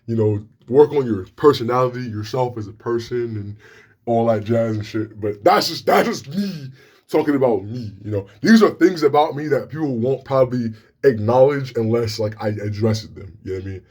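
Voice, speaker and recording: Young male vlogger, deep, slightly slurred delivery, loose and frank, swears freely. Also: lively intonation, normal speed, a little mellow, breathy edge. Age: young adult. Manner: rambling, frank, profane, many restarts. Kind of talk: casual talk to camera.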